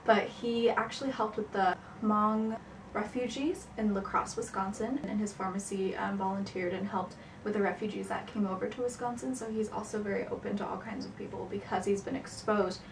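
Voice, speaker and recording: A woman's voice: mid-range voice, steady tone. A young woman, a student, narrating and casually interviewing her family at home, chatty and teasing. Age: young adult. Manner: chatty, teasing, quick to laugh. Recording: roomy, distant camera mic, faint hiss.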